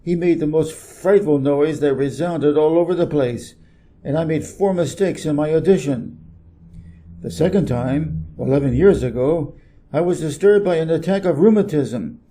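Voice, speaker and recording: Guy doing a grumpy self-important middle aged businessman from a storybook, brusque, really dark mellow sound. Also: fairly high pitch, moderate pace, close to an everyday voice. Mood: pompous, irritated. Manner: pompous, brusque, emphatic. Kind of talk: storybook character reading.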